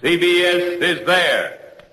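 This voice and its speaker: A man's voice: high-pitched, expressive, takes it slowly, pushed and bright. A man proclaiming as a dramatic 1940s radio announcer, drawing out his words with a long reverb.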